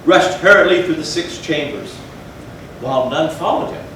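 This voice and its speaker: A man narrating gothic horror as a dramatic stage reading, solemn and theatrical with deliberate phrasing. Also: on the high side, a bit bright. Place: indoor theatre, recorded from a distance. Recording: very echoey hall, far mic, noisy.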